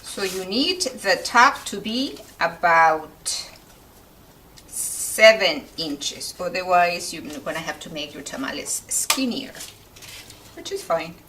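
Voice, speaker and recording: A woman's voice: on the low side, lively intonation, takes it slowly, pushed and bright, distant mic, slight background noise, poor audio. A woman from Mexico with a Mexican accent, a native Spanish speaker, hosting an informal home recipe demonstration. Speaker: home cooking video host. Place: kitchen.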